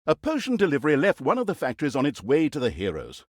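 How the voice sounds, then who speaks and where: Mocking video game narrator, theatrical, mock-pompous, highly animated swooping delivery, slightly high pitch, dry close sound. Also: male voice, quick pace, no echo. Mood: wry announcing. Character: mocking, theatrical male narrator of villainous deeds. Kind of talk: comic scripted narration.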